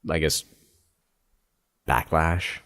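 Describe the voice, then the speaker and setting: A man hosting a podcast interview, relaxed and self-deprecating, hesitating with fillers. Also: extremely low pitch, drawn-out words. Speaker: podcast host. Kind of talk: casual interview chat.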